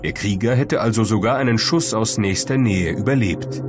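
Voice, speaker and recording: Low-voiced male documentary narrator. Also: moderate pace, over music.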